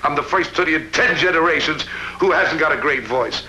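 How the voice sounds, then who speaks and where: An actor playing a gloomy, soft-hearted cop with a gravelly voice who pities himself. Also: male voice, on the high side, fairly quick, a little hiss or hum, poor audio. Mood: mournful. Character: middle-aged male cop, gloomy, self-pitying, soft-hearted.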